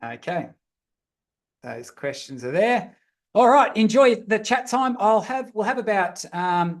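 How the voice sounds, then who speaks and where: A man facilitating an online group session, informally giving instructions in a friendly, direct way and repeating himself as he thinks. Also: a little high. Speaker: meet-up facilitator. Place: online video meeting.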